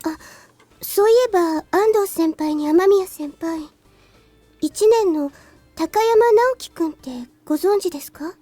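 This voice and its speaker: A polite, sweet schoolgirl from a visual novel, with a very high, mellow voice. She speaks briskly with a teasing streak. The recording is dry and close. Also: no room sound, faint music behind. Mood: polite, earnest. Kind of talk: Japanese visual novel voice acting.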